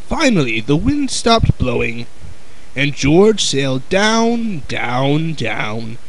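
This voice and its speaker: A man's voice: a little high, sing-song, slow, low-quality recording. A man reading a comic storybook dramatically, with emphatic delivery and wry asides.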